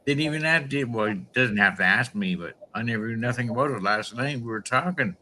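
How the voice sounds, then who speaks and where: A man chatting casually with the host, relaxed and talkative. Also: medium pitch, brisk, a little hiss or hum. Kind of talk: casual chat.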